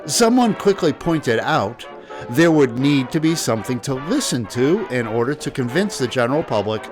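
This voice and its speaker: A man's voice: medium pitch, very animated, normal speed. Man narrating over background music. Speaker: podcast host. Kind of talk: scripted narration on radio history. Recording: music playing behind the voice.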